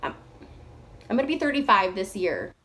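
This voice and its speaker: A woman's voice: mid-range voice, big pitch swings, moderate pace, fairly bright tone. A woman in her thirties from Indiana tells her own story to a phone camera in a casual, rambling way, with many fillers and self-conscious laughs. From Indiana, USA. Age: 30s.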